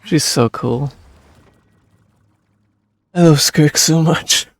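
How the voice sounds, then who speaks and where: A man's voice: on the high side, very slow, no room sound. A man giving casual commentary as he streams and reacts to a game. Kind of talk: casual gameplay commentary, reading along.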